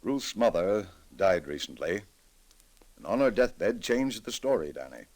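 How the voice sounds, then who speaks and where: An actor playing a grave, reflective middle-aged judge in an old-time radio drama, speaking in a measured, deliberate, dramatic way. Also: male voice, low-pitched, very animated, darkish tone, heavily acted. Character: grave, reflective male judge with a troubled conscience.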